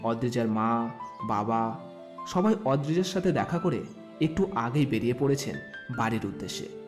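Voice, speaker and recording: A man narrating a scripted romantic story with expressive storytelling delivery. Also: medium pitch, moderate pace. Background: background music.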